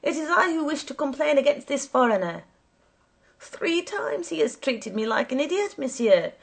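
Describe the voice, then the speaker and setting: A woman's voice: on the high side, expressive, takes it slowly, gravelly, breathy edge, voice pushed up, heavily acted. A woman voicing an angry, easily offended old male taxi driver in an audiobook, indignant and formally declamatory. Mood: aggrieved, demanding.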